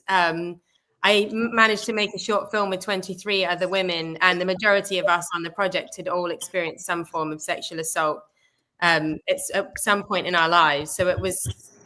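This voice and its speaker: Brief: woman from England, guest actress, thoughtful and hesitant, bright crisp tone. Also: on the low side. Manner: thoughtful, many ums. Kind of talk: casual interview chat.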